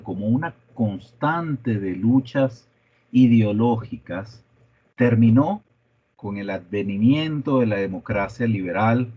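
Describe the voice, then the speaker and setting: A man who is a native Spanish speaker giving a guest economics lecture in a measured way, with hesitant fillers. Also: lowish voice, husky, dull audio. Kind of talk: lecture presentation.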